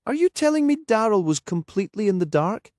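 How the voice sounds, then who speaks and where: A man's voice: high voice, big pitch swings, fairly quick, darkish tone, no echo, clean studio sound, pitched above the performer's own voice. A man voicing a persistent male detective with a direct, probing manner in an audiobook. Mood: pressing. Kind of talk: audiobook character dialogue.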